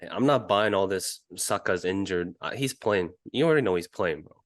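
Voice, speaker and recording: A man co-hosting a casual football podcast, reasoning in a measured, halting way. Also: deep voice, animated, no room sound, studio quality. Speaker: podcast co-host.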